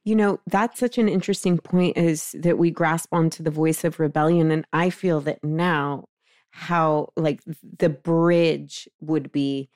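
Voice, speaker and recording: A woman's voice: low-pitched, fairly even intonation, measured pace, darkish tone, no echo, studio quality. A woman co-hosting a casual interview, attentive and affirming as she responds. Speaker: interview co-host.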